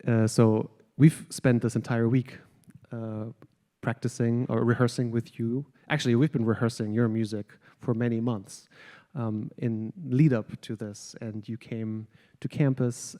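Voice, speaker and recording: A man's voice: mid-range voice, mellow. A man hosting an onstage interview with guest musicians, speaking in a friendly, hesitant way with many ums and uhs. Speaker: host, jazz studies director.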